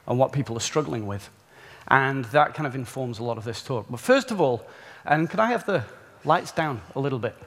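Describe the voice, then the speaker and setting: A man with a softened northern English accent giving a conference talk in a chatty, informal way, often restarting his sentences. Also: medium pitch. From England. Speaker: conference speaker. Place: large conference hall. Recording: echoey conference hall.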